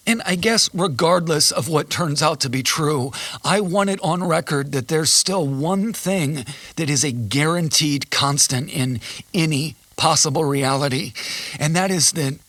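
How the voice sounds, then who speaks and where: An actor playing a weary, haunted husband recording his testimony after an apocalypse, halting and introspective, pausing between phrases. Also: a little high, a bit bright, no echo. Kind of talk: confessional monologue, audio drama.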